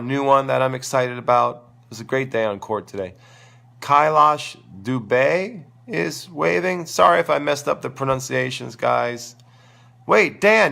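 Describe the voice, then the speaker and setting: Gravelly hoarse guy with a sore throat, rambling tennis coach answering viewer questions, middle pitch. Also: pushed and bright. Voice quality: crackly, gravelly voice. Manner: enthusiastic, rambling, thinks aloud. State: sore throat, losing his voice. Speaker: tennis coach and show host. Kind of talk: live-stream Q&A talk.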